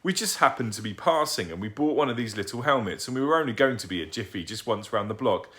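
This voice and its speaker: A man's voice: mid-range voice, expressive, fast, a bit bright, close to an everyday voice. A man voicing an unbothered granny in her sixties in an audiobook. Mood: breezy. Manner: breezy, matter-of-fact. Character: sixty-five-year-old granny, breezy and unbothered.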